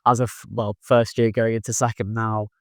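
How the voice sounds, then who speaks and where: A man's voice: on the low side, flat delivery, moderate pace, no room sound, studio quality. A young university student from northwest England, chatting casually and filling his talk with "like" and "you know what I mean.". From Wirral, England. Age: young adult. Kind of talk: casual conversation.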